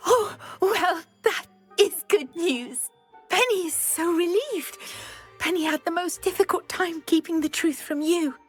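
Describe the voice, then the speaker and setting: A woman's voice: very high-pitched, unhurried, soft background music. A woman voicing a small, timid and devoted female house elf in a video game, talking of herself in the third person. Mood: tearful relief. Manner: refers to self in third person. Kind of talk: video game character acting.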